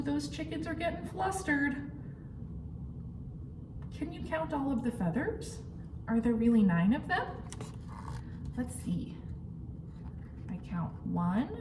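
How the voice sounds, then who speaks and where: Woman, children's storytime reader, slow delivery, swooping suspenseful intonation, roomy noisy recording. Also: high voice. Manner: animated, exaggerated suspense with audible gasps and questions to listeners; simple child-directed phrasing, counting aloud slowly. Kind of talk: interactive picture-book read-aloud for young children. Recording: roomy, distant mic, clearly noisy background.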